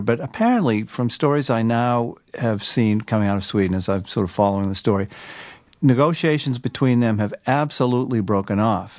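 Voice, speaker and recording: A man explaining things in measured, careful phrases as an interview guest. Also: fairly low pitch, vocal fry, darkish tone, no room sound. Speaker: newspaper writer, interview guest.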